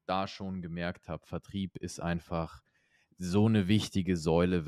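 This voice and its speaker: Low-voiced young German founder, dry sound. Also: male voice, a little mellow, clear, resonant tone, no echo. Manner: fluent, explanatory business talk. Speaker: startup co-founder, guest. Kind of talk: podcast interview answers.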